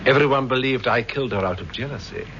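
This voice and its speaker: An actor playing a formal, self-satisfied criminal schemer in a vintage radio crime serial. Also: male voice, mid-range voice, fast talker, a bit bright, lightly acted. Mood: gloating. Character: smug middle-aged or older male criminal schemer. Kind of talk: vintage radio drama acting. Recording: dull, faintly noisy vintage radio recording.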